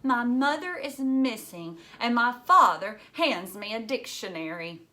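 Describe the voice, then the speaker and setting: A woman's voice: on the high side, expressive, a little slow, pushed and bright, voice pushed up. A woman voicing an upset young girl from a children's novel, insistent and emphatic in protest. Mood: indignant.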